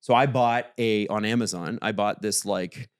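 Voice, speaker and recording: A man with a booming voice co-hosting a casual podcast, animated and jokey, often jumping high in pitch when he teases. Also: moderate pace, smooth, no room sound, clean studio sound. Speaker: podcast co-host. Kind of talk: casual chat.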